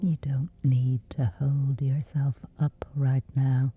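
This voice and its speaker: A woman with a whispery voice, slowly and gently guiding a sleep meditation. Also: rumbling low, flat delivery, phone-quality sound, soft background music. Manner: slow, soothing, unhurried. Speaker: meditation guide.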